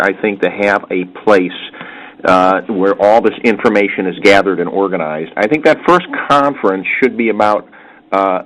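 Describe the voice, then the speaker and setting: Male conference call participant, fairly deep voice, halting discussion delivery. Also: a bit bright, low-quality recording. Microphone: telephone conference call.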